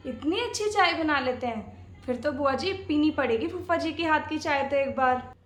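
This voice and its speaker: Woman acting a high-voiced young daughter-in-law. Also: expressive, normal speed, fairly bright tone, a little hiss or hum. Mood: curious, teasing. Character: young daughter-in-law, placating, sweetly cheeky. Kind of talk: domestic comedy skit acting. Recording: echoey room.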